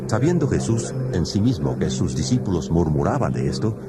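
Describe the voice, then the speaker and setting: A man narrating a dramatized scripture reading in a measured storytelling manner, with music playing behind him. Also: low-pitched, big pitch swings, heavy background noise. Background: background music.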